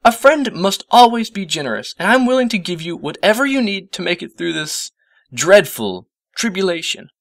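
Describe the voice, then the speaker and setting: A man's voice: high voice, very animated, moderate pace, vocal fry, a bit bright, dry recording, voice pushed up, heavily acted. A man voicing a refined, generous lady cartoon pony, genteel and dramatic, in a storybook reading. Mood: dramatic concern.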